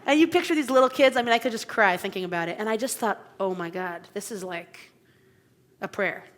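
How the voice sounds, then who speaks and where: A woman rabbi speaking informally from the pulpit, her bright voice carried with a long reverb through a sanctuary. She talks conversationally, pausing often with fillers. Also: medium pitch. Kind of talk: informal sermon, spoken. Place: synagogue sanctuary.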